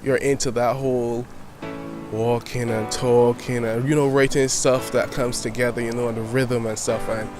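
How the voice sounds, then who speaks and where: A nineteen-year-old man talks with eager, rambling energy, leaning on "you know" and "um" as he answers. His voice sits at a middling pitch. Clear background music plays under him throughout. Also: little pitch movement, moderate pace. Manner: eager, rambling, many fillers. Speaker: youth media founder and poet. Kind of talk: casual interview answers. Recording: clear music playing behind.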